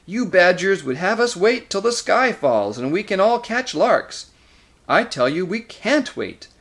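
A man's voice: mid-range voice, animated, normal speed, voice pushed up, close to an everyday voice. Guy reading as angry dwarf from a storybook, sneery n snarly, voice jumps all over. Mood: contemptuous, impatient. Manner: snarling, scornful, accusing. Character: angry, sneering, bitter male dwarf rebel. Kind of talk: dramatic audiobook character reading.

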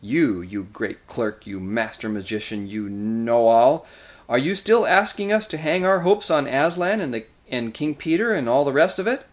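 A man's voice: mid-range voice, animated, moderate pace, natural voice. Guy reading as angry dwarf from a storybook, sneery n snarly, voice jumps all over. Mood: bitter, scornful. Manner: snarling, scornful, accusing. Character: angry, sneering, bitter male dwarf rebel. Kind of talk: dramatic audiobook character reading.

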